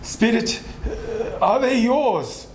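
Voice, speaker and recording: Guy playing a scared sorry old miser, really slow and pleading, stage reading, roomy echo. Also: very high voice, expressive, heavily acted. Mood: appalled. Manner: halting, pleading. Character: frightened, penitent old miser pleading with spirits. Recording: roomy hall, faint noise.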